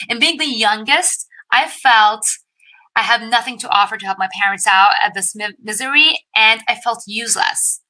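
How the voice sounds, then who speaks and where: An upbeat woman from Iran hosts an investing webinar and addresses her viewers directly. Her voice is bright and sits a little high. She tells her own story in a scripted presentation style. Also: poor audio. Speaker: investing educator hosting a webinar.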